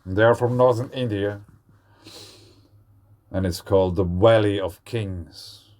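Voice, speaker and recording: A man with a Swiss German accent talks to camera in an unhurried, meandering way. His voice sits fairly low, and he drifts slowly from thought to thought, stopping often for fillers and restarts. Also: a little mellow. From Switzerland. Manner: rambling, hesitant, with frequent fillers. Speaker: video creator. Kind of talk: casual monologue.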